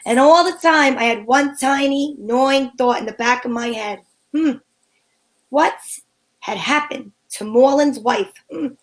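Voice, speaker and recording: A woman's voice: high voice, measured pace, poor audio. A woman playing a breezy, sensible, take-charge female sleuth in an old-time radio mystery, with a wry manner. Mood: narrating, pensive. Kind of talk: old-time radio mystery acting with first-person narration.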